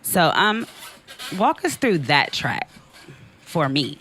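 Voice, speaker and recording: A man's voice: a little high, sing-song, slow pace, pushed and bright, slight background noise. A man co-hosting a casual radio interview, friendly and curious as he asks open questions. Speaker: radio co-host.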